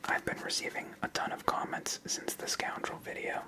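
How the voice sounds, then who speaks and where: Whispering ASMR game host, sex unclear. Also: normal speed. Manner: soft whispering, unvoiced. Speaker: host of a card game channel.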